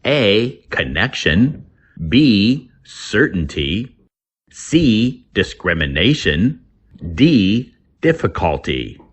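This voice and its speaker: A man reading a scripted English lesson aloud as a teacher, deliberately, word by word. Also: on the low side, very animated, breathy edge. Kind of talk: scripted lesson narration, slow read-aloud.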